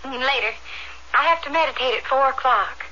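A woman's voice: sits high, brisk, sharp tone. An actress playing a withdrawn, dreamy young woman in a radio drama, speaking in a distracted way. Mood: calm. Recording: dull old radio broadcast, music behind.

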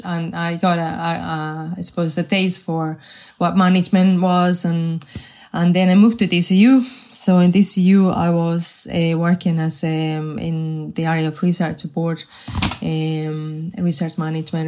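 A woman from Spain in her forties, a Spanish accent, speaking in a lowish voice for her sex. Her delivery is measured and hesitant, with frequent restarts. The tone stays flat and level. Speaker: guest, health research manager. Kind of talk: podcast interview.

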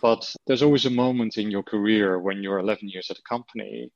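A man's voice: on the low side, brisk, gravelly, no room sound. Dutch-accented man, chatty, lo-fi audio. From Netherlands. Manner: relaxed, chatty, frequent fillers. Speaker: marketing executive guest. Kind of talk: conversational interview.

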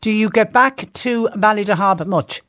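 Effusive radio host. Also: male voice, high voice, normal speed, no echo, studio quality. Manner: warm, effusive, rambling with restarts. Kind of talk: live radio talk show.

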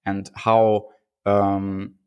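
A man's voice: on the low side, monotone, a little mellow, smooth, no echo, dull audio. Guy hosting a podcast, lots of ums and restarts, dry close sound. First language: Hungarian. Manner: halting, many fillers and restarts. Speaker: podcast host. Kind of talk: casual interview chat.

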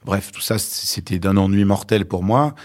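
A man in his forties, born in Lebanon, telling his own story in an interview with many pauses and hesitations. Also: deep voice. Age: 40s. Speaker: author and journalist guest.

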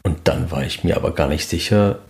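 A man's voice: very deep voice, a little fast, darkish tone. A middle-aged man hosting a fan podcast, talking on his own to the listeners. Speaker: podcast host.

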